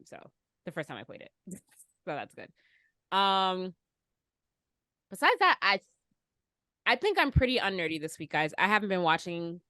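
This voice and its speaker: A woman's voice: lowish voice, fairly bright tone, no echo, clean studio sound. A woman chatting casually as a podcast co-host, with an informal, giggly manner. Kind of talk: casual chat.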